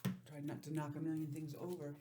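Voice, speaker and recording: A woman teacher giving an informal, encouraging lesson to young children, sounding out letters and counting deliberately. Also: sits low, little pitch movement, background noise. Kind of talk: children's lesson, read-aloud introduction.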